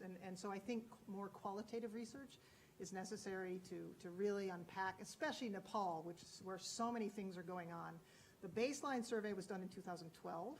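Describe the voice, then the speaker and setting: A woman's voice: medium pitch, a little hiss or hum, low-quality recording. A woman political scientist speaking on a panel, thoughtful, with occasional false starts. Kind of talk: academic panel discussion.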